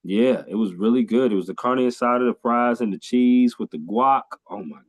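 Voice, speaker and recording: A man's voice: medium pitch, moderate pace. A man from California hosting a podcast interview, talking in an enthusiastic way with lots of fillers and repeats. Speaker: podcast host. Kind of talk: casual interview chat.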